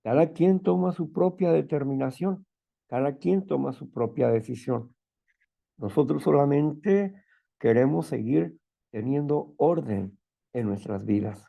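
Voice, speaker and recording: A man with a strong, loud voice who sounds stern without being angry, leading a spiritual talk and prayer. He repeats phrases and often checks in with "¿no?" and "¿verdad?". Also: mid-range voice, gravelly. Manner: fervent prayer calling on the Father. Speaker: group leader.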